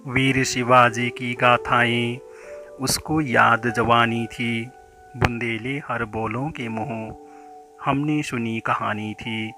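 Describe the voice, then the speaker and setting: A man reciting a poem in a measured, declamatory way, drawing out the ends of lines. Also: mid-range voice, level tone, pushed and bright, soft background music. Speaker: poetry reciter. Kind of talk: dramatic Hindi poem recitation.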